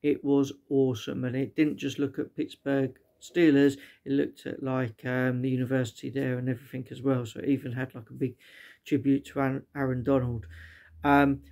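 Middle-aged man, British accent, gravelly creak, mid-pitched, chatty and rambling, casual vlog review. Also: flat delivery, moderate pace, warm tone. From Britain. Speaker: vlogger reviewing stadiums as a fan. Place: small living room indoors.

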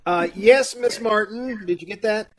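An actor playing a fussy, flustered loan officer in an old-time radio comedy, with hesitant, businesslike patter over a video call. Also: male voice, high voice, unhurried, rough recording. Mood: flustered, apologetic. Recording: live video-call recording.

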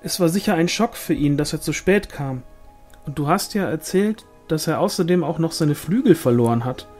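A man's voice: a little high, animated, normal speed, a little mellow, faint music behind, voice pushed up, close to an everyday voice. A man voicing a nosy, teasing village woman in an audiobook, inquisitive and full of insinuation. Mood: teasing, sly.

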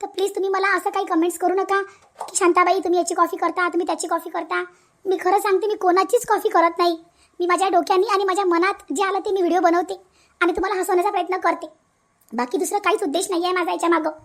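A woman with a very high voice talks quickly and directly to her audience. She is a channel creator, explaining herself and pleading for support. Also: fairly even intonation. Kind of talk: direct appeal to viewers.

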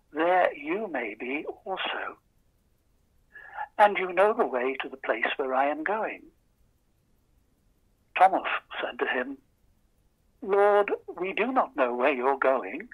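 A man giving a formal, solemn Bible reading in short, measured phrases, on a muffled remote recording. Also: on the high side, a bit bright, breathy edge. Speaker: scripture reader.

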